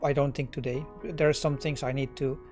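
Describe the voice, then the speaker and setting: A middle-aged Dutch man with a Dutch accent, live-coding on a stream and thinking aloud, relaxed and halting with many fillers and restarts. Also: medium pitch, soft background music. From Netherlands.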